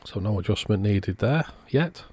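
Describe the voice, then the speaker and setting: Male repair technician, lower-pitched, casual narrated commentary for a hands-on video. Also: animated, normal speed, a little mellow, slightly rough.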